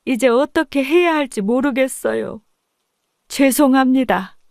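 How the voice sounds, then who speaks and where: A woman's voice: high-pitched, unhurried, gravelly, darkish tone, dry recording, studio quality. A woman playing a meek, apologetic kitchen helper in an audiobook drama. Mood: guilty, pleading. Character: remorseful long-serving female restaurant kitchen helper.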